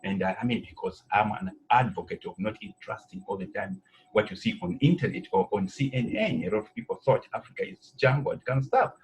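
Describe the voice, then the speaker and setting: A man's voice: medium pitch, big pitch swings, brisk, gravelly, rough recording. Rambling Zambian-born man in an interview. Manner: rambling, many fillers and "you know". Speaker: president of an education institute. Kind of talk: conversational interview answers.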